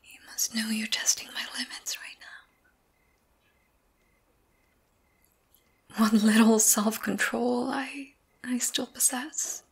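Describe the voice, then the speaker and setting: A woman playing a young, tormented, lovesick vampire in an ASMR roleplay, breathy and often whispering, halting and intense. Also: on the high side, fairly even intonation, exaggerated voice. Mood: pained, menacing. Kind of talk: ASMR roleplay, dramatic monologue.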